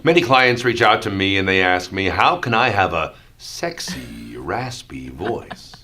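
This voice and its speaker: A woman voicing a smug, sultry mock voice coach in a husky, raspy, drawn-out tone. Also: very deep voice, big pitch swings, fairly bright tone, voice pushed down, heavily acted. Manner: slow, drawn-out, exaggerated seductive delivery.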